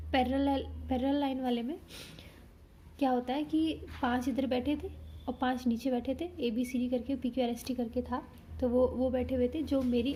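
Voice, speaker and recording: A young woman from India casually talking through her exam, a little hoarse from a sore throat, chatty and explanatory with frequent self-corrections. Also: high-pitched, warm tone, a little hiss or hum, rough recording. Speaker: exam candidate reviewing her paper. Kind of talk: casual vlog talk.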